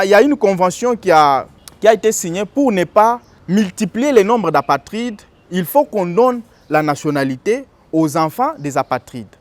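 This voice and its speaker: A man's voice: high voice, dry recording. A man giving explanatory commentary on law, in a didactic way with frequent hesitations. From DR Congo. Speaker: political commentator.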